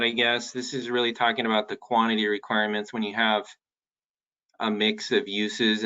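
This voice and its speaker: A man's voice: fairly low pitch, bright, forceful tone, highs cut off. A man talking through details as a city planner in an informal meeting, hesitant and thinking aloud. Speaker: city planner presenting a code draft.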